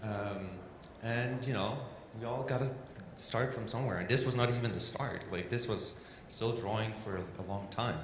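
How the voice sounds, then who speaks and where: A Danish-accented man presents an informal talk in English, chatty and self-deprecating, padding his sentences with "like" and "um". His voice carries a long reverb, as if he were in a large hall. A steady background hiss sits under everything. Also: on the low side, normal speed, hardly any pauses. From Denmark. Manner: loose and chatty, many "like" and "um" fillers, self-deprecating.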